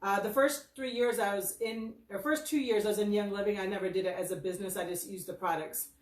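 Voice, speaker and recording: A woman's voice: mid-range voice, fairly even intonation, fast. Casual livestream storyteller: American woman, 50s, rambling and self-deprecating, recorded off-mic in an echoey room. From Michigan, United States. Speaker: host of her birthday livestream. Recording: roomy echo, distant from mic.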